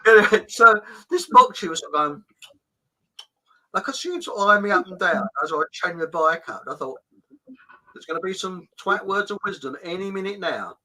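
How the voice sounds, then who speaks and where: A middle-aged man chats casually, laughing often as he rambles through stories. His voice is very bright and forward, and his pitch swings widely. He swears lightly and keeps the tone conversational. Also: animated, normal speed, low-quality recording. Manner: rambling anecdotes, frequent laughs, mild swearing. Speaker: podcast host. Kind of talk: casual storytelling chat.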